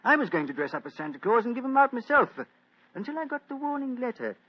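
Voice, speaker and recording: An actor voicing a wealthy, fretful lord in a 1940s radio play, earnest and hesitant. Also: male voice, extremely high pitch, big pitch swings, quick pace, full character voice. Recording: dull lo-fi old radio recording.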